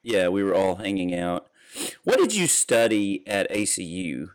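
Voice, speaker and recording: Host guy, kinda low voice, rambles through old stories, laughs along. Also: a little slow. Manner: rambling, animated storytelling with laughs. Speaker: podcast host. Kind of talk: casual interview.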